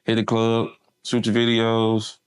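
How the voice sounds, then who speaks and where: A man's voice: lowish voice, completely flat delivery, a little slow, clean tone. A man from Memphis, an artist manager chatting casually in an interview, laid-back and slangy with lots of fillers.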